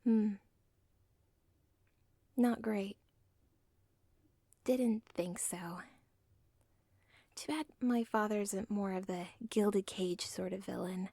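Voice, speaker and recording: A woman's voice: medium pitch, fairly even intonation, extremely slow, creaky, long pauses, no room sound, heavily acted. A woman playing an obsessive villain's daughter. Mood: rambling. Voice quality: soft whisper. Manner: rambling, whispered fourth-wall asides. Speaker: audio roleplay performer. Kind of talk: ASMR audio roleplay.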